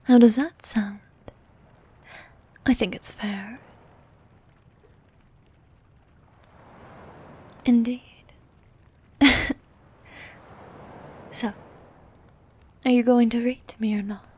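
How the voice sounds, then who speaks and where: A woman voicing a vain, flirtatious sea queen monster in an ASMR roleplay, soft and half-whispered, with a regal, teasing manner. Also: a little high, fairly even intonation, breathy edge. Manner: regal, teasing, slow and coaxing.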